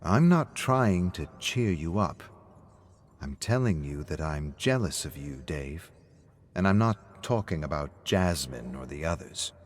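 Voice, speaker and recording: A man's voice: a bass voice, expressive, measured pace, a little hiss or hum. A man voicing a reflective, talkative male friend who rambles philosophically in a story reading. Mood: wistful. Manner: rambling, philosophical small talk.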